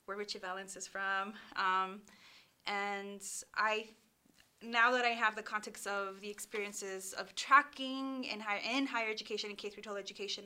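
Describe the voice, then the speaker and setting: A woman speaks as an interview guest. Her tone is bright and forceful, her pitch sits mid-range, and she talks candidly, often restarting her sentences. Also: smooth. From Los Angeles, California, USA. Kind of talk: casual interview storytelling.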